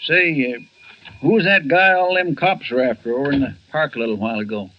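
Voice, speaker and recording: An actor playing a sarcastic, needling shopkeeper in a 1940s radio drama. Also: male voice, medium pitch, a little fast, natural voice. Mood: sneering. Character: sneering male shopkeeper, sarcastic and cocky. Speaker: bystander at a shop. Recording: muffled 1949 radio broadcast.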